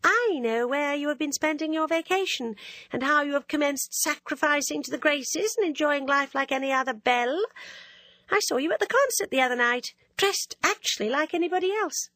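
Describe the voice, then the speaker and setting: A woman's voice: high voice, moderate pace, pushed and bright, no echo, voice pushed up. A woman voicing a vain, teasing young woman who gushes and drops French words into her talk.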